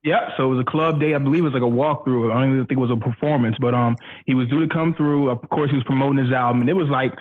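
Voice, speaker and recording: A man's voice: medium pitch, fairly even intonation, rapid-fire, hardly any pauses. A man telling stories casually as a radio guest, in a slangy, self-mocking way. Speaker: radio personality being interviewed as guest. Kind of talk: casual animated storytelling. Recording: thin phone-like audio.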